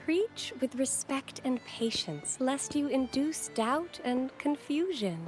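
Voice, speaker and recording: A woman playing a gentle young acolyte of a religious order in a video game, speaking politely and formally in measured phrases. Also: high voice, expressive. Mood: gently admonishing. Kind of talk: scripted video game voice acting. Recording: game music behind the voice.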